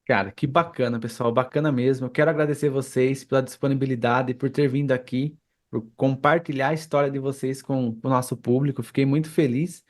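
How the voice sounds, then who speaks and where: A man's voice: mid-range voice, fairly even intonation, fairly quick. A Brazilian man hosting an interview podcast in a casual, conversational style. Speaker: podcast host.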